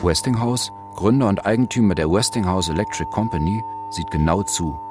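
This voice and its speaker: A man's voice: sits low, a little fast. A man reading scripted narration as a narrator in an audio drama. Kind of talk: scripted narration over music. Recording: loud music under the voice. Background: prominent music.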